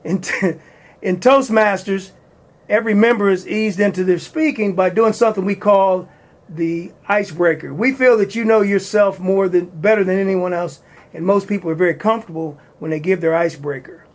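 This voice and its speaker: High-pitched male host, halting intro delivery. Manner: halting, with restarts. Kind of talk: introducing a guest.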